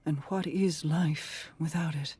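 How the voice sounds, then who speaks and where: A woman playing a regal, composed queen in a video game, speaking in a measured, formal, guarded and grave manner. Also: low-pitched, steady tone, warm tone, husky, full character voice. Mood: grave, reflective. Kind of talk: scripted video game monologue.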